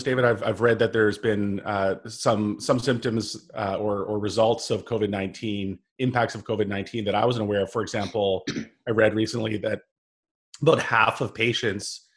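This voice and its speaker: Low-voiced male podcast host. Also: fairly even intonation, husky. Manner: halting, repeats words when asking questions. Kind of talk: interview podcast.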